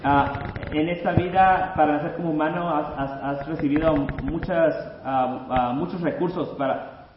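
A man's voice: a little high, steady tone, normal speed. A man interpreting a sermon live, hesitant and full of fillers and restarts. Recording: roomy lo-fi recording with faint noise.

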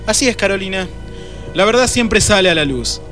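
A man's voice: sits high, expressive, a little fast, a bit bright, faint music behind, pitched above the performer's own voice. An Argentine man playing a bumbling, evasive private detective with a hesitant, muttering manner in a radio play. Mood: self-assured. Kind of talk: radio drama acting.

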